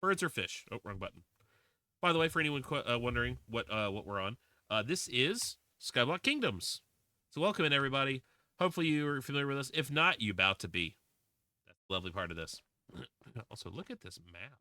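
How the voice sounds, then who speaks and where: A man's voice: mid-range voice, very animated, brisk, sharp tone, no room sound. A man in his twenties, streaming a game and chatting casually, rambling with lots of fillers and restarts. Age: 20s. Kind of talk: casual livestream chat while playing a game.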